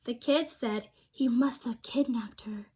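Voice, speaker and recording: A woman's voice: fairly high pitch, a little slow, mellow, husky. A young woman reading a storybook aloud to children, speaking simply and expressively and prompting her listeners with questions. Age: young adult.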